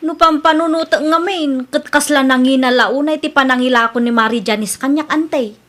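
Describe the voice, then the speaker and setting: Woman playing a high-voiced, wheedling Ilocano debtor. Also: normal speed, a bit bright. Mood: evasive. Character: evasive, pleading younger woman always short of money. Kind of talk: Ilocano comedy radio drama acting.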